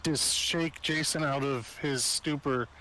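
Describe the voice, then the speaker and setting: A man's voice: on the high side, steady tone, slow, bright, forceful tone. A man playing a tabletop game, casually asking and talking about the rules. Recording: faintly noisy room recording.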